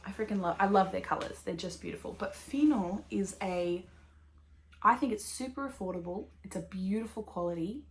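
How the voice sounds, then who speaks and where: An Australian woman in her thirties chats casually to camera as a podcast host. Her talk is rambling and upbeat, full of "like" and "um", at an ordinary middle pitch. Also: normal speed, slight background noise. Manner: rambling, upbeat, full of fillers and little laughs. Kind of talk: casual chatty knitting podcast.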